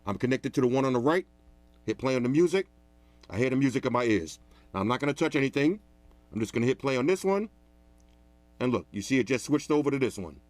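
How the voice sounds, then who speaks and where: A man giving a casual, animated product review in a loud, talkative voice. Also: mid-range voice, no echo. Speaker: tech reviewer.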